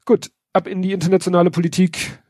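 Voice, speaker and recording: Ein Mann mit Schnupfen, der in lockerem Plauderton und mit trockenem Sarkasmus über Neuigkeiten spricht, oft mit Füllwörtern. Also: male voice, high-pitched, flat delivery, quick pace, mellow, no room sound, clean studio sound. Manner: wry, sarcastic, hesitant with many fillers. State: stuffy nose from a cold. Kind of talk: casual news chat.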